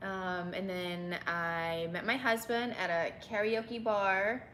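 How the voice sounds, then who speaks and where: A woman's voice: mid-range voice, fairly even intonation, slow, fairly bright tone, slight background noise, low-quality recording. A woman from Texas raised in Bangkok, a restaurant owner telling her story in a candid interview, with many ums and easy laughs. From Texas and Bangkok, Thailand. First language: Thai.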